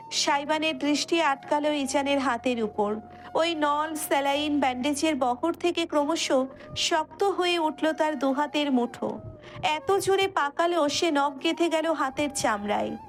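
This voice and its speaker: A woman narrator reading a romance story as dramatic scripted narration. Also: high voice.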